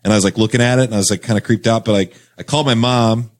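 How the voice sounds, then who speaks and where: A man's voice: lowish voice, steady tone, rapid-fire. Rambling young man telling a story. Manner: rambling, filler-heavy, laughs through stories. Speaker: podcast guest. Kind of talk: casual storytelling chat.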